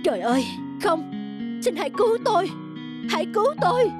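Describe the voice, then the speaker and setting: A woman's voice: extremely high pitch, very animated, a little fast, music in the background. A woman voicing a scheming, jealous female angel of darkness in a cartoon, with theatrical delivery. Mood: desperate pleading. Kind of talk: dubbed cartoon acting.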